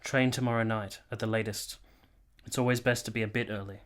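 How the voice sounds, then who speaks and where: Measured romantic army captain, played by a man. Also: lowish voice, fairly even intonation, fairly bright tone, natural voice. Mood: soft, tender. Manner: measured, poetic, sincere. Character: romantic, reflective young army captain and lover. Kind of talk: radio play acting, literary first-person narration.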